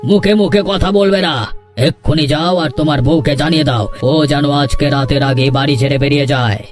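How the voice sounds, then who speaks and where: A severe, commanding head-of-the-family elder brother from a cartoon, acted by a man. His voice sits slightly high for a man and he speaks as one who will not bend. Faint music plays behind him. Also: fairly even intonation, a little fast, lightly acted. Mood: angry. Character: stern, unbending elder brother ruling the household. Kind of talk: Bengali cartoon voice acting.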